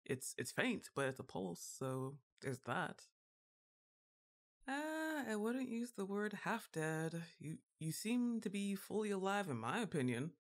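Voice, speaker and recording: A woman's voice: sits low, exaggerated voice. A woman playing a goth giantess witch girlfriend in an ASMR roleplay, exasperated but fond, with a casual, wry, hesitant delivery.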